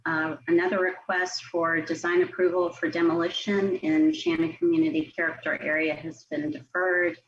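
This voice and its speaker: Woman, American accent, fairly low pitch, formal agenda reading, dull online-meeting sound with faint noise. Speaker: city staff member at a public commission meeting.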